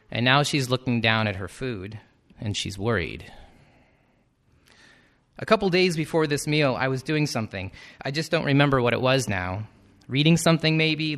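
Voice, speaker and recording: A man, a teacher, reading his own reflective personal essay in a measured, thoughtful way with a slightly wry edge. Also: medium pitch, a bit bright.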